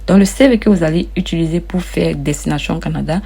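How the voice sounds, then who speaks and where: A woman with a lowish, gravelly, mellow voice talks viewers through an online form. She repeats herself often and calls her audience friends. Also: fast talker. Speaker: immigration advice vlogger. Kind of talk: casual walkthrough of an application form.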